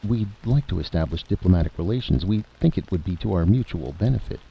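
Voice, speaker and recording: A man's voice: low-pitched, animated, fast talker, vocal fry, slightly rough, voice pushed up, exaggerated voice. A man voicing an earnest, stiff envoy of a tiny nation in a radio drama, formal and insistent. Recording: dull, noisy vintage radio recording.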